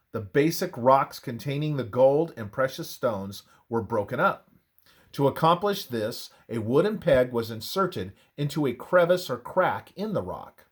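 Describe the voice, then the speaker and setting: Slow, expressive male narrator. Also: mid-range voice, slightly rough. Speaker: narrator reading a religious history presentation. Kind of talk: scripted narration, read aloud.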